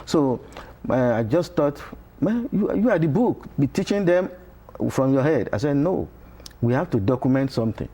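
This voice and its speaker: A middle-aged Nigerian man, a Yoruba-speaking professor, explaining patiently in a TV interview with frequent pauses. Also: on the high side, sing-song, dark tone, husky. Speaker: professor, guest. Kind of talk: interview conversation. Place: television studio.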